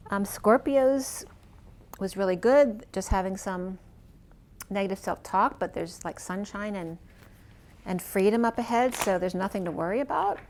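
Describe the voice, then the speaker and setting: A woman reads tarot cards in a relaxed, rambling monologue. Her voice is wearing thin from overuse, and she talks through it with plenty of "um"s and loose asides. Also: medium pitch, noisy background. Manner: chatty, meandering, filler-heavy. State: losing her voice. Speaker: tarot reader. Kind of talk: casual tarot reading monologue.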